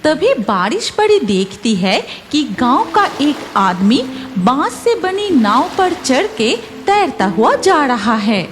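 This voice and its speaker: High-pitched woman narrating a cartoon story. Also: big pitch swings, slow, over music.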